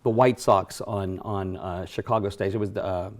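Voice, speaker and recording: Middle-aged male guest, low voice, rambling storyteller, studio interview. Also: steady tone, moderate pace. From Johnstown, Pennsylvania area. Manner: reminiscing, warm storyteller with fillers and self-corrections. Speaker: guest, racing announcer. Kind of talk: casual interview chat.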